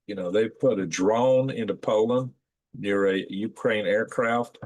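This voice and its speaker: Folksy man, hoarse, live-chat host who rambles and reads viewer comments aloud. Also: lowish voice, a little slow, darkish tone, rough recording. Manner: rambling, folksy, with frequent fillers and restarts. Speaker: livestream host.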